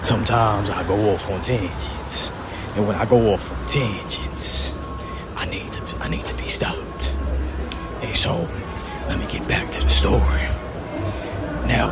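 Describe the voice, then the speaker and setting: Deadpan low-voiced man, slow and hushed. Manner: boastful about his deep voice. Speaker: comedic vlogger. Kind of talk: rambling deadpan comic monologue. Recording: loud traffic noise behind.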